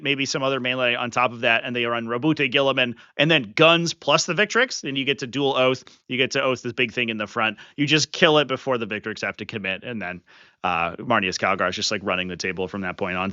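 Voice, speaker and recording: A man's voice: mid-range voice, sharp tone, no echo. A man hosting a live strategy stream, talking casually and animatedly with chuckles and fillers, sniffly from a runny nose. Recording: slightly muffled, dry sound.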